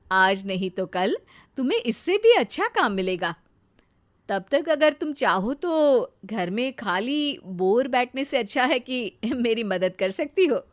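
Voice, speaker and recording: A woman voicing a patient, kindly young sister-in-law with a gentle, reassuring manner in an animated family story. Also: fairly high pitch, measured pace, no room sound.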